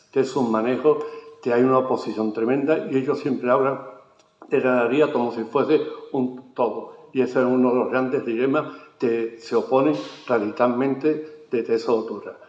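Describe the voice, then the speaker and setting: A man's voice: mid-range voice, steady tone, moderate pace, darkish tone. A man moderating a round-table talk, firm and emphatic. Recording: echoey hall, dull sound.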